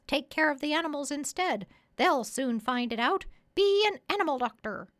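A woman voicing a proud, bossy, clever female parrot in a high, squawky voice for a children's story. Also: animated, normal speed, fairly bright tone. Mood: bossy, urging. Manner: emphatic, instructive, sure of herself.

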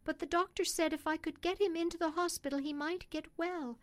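A woman's voice: extremely high pitch, fairly even intonation, fairly quick, dry recording, voice pushed up. A woman voicing a poor young country mother in an audiobook story, earnest, polite and pleading. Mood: worried, pleading.

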